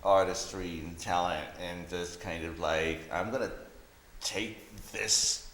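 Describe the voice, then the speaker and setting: A man's voice: sits low, fairly even intonation, slow. A man chatting casually and reflectively as a visual artist, often saying "you know". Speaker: visual artist being interviewed. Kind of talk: casual conversation. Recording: roomy echo, faint background noise.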